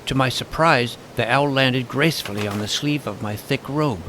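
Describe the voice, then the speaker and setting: A man's voice: medium pitch, moderate pace, pushed and bright, slight background noise, poor audio. A man narrating a comic fantasy adventure in the first person as its self-important young hero.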